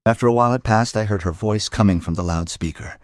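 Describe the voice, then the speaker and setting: A man playing a world-weary police detective, narrating a crime audio drama in a measured, musing way. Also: lowish voice, close to an everyday voice. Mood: grave. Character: world-weary male police detective telling his case.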